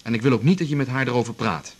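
An actor playing a secretive, controlled husband in a naturalistic radio drama, husky and measured. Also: male voice, medium pitch, close to an everyday voice. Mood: firm, controlled. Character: secretive husband buying a secret deal, calm but firm. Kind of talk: naturalistic 1980s Dutch radio drama acting.

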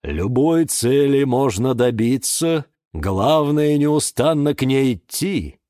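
Storybook bonsai samurai, a man acting: sage, solemn, proclaiming, slow and deliberate, very dry. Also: medium pitch, expressive, mellow, no room sound. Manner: proclaiming, measured. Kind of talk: children's story character voice.

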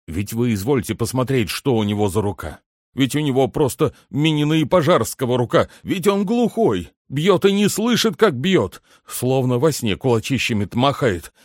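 A man's voice: medium pitch, a little mellow, no echo, studio quality, voice pushed up, natural voice. A man voicing a frightened, self-pitying, hard-drinking shoemaker in a storybook, pleading and rambling in florid complaint. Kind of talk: dramatic literary audiobook character reading.

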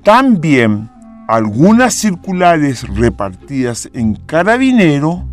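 A man playing a grave Chilean president in a radio play. He speaks slowly and formally with big swings of pitch, probing like a statesman, over faint music. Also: big pitch swings. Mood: measured, inquiring. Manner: deliberate, formal, theatrical. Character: grave, probing male president questioning his adviser. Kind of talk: historical radio drama acting.

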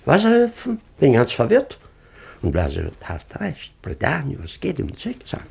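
An actor playing a pompous, easily outraged baron in a German radio play, blustering and exasperated. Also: male voice, medium pitch, huge pitch swings, unhurried, gravelly, darkish tone, a little hiss or hum, heavily acted. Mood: flustered outrage.

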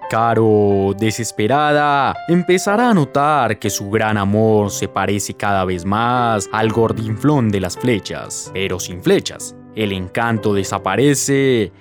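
A man playing a solemn, dramatic narrator of a radio soap, speaking in a grandiose, deliberate manner. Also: fairly low pitch. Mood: grave. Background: music with guitar.

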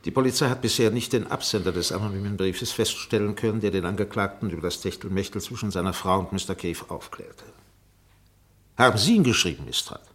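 A man's voice: on the low side, fairly quick, fairly bright tone, lightly acted. An actor playing an insistent, insinuating defence barrister in a German radio courtroom drama, formal and peremptory as he questions. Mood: pressing, peremptory. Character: relentless, insinuating male defence barrister cross-examining a witness.

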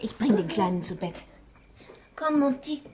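Actress as a dark-voiced, matter-of-fact village mother. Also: medium pitch, animated, unhurried, slight background noise, close to an everyday voice. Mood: strained. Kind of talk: German radio crime drama acting.

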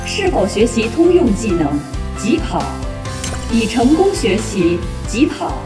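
A woman's voice: on the high side, very animated, a little slow, reverberant, recorded from a distance, over music. A woman voicing a game's system announcer in an audio drama, clipped and formal. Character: female game system announcer voice, flat.

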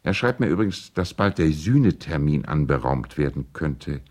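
Radio drama role: courteous, persuasive attorney, very low bass voice, earnest letter-reading. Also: male voice, big pitch swings, moderate pace, darkish tone, full character voice. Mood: coaxing, friendly. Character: urbane, persuasive male lawyer and family friend. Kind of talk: radio play, letters read aloud in character.

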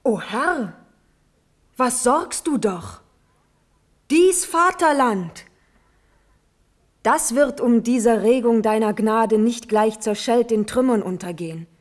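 A woman's voice: fairly high pitch, takes it slowly, long pauses. An actress playing a devoted young noblewoman in a classical verse drama, speaking with solemn, elevated declamation. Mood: urgent, insistent. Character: devoted, brave young noblewoman pleading for her cousin.

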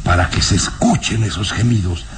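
A man playing a proud, sinister nobleman and father in a radio serial, speaking in a haughty, menacing, deliberate way. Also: fairly low pitch, noisy background, lightly acted. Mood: indignant. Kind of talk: Spanish-language radio serial acting.

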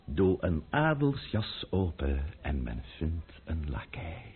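An actor playing a scornful schemer in an old radio play, muttering sardonically in a husky, gravelly voice. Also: male voice, extremely low pitch, very animated, slow pace, heavily acted. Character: scornful, muttering male schemer, contemptuous of nobles and commoners. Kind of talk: old radio drama acting. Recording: muffled narrow-band old radio recording.

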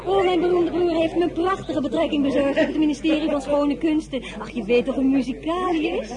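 Radio play casting: actress as chatty, gushing society woman, high voice, theatrical, loud party crowd behind. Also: fairly quick, lightly acted. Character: effusive, chattering society lady. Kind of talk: radio drama acting.

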